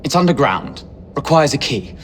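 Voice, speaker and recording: A man's voice: medium pitch, sing-song, normal speed, slight background noise, close to an everyday voice. An actor playing a roguish, unflappable Victorian carnival man with a British accent, playful and mock-courteous, in a video game. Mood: cheeky, breezy. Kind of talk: video game character acting.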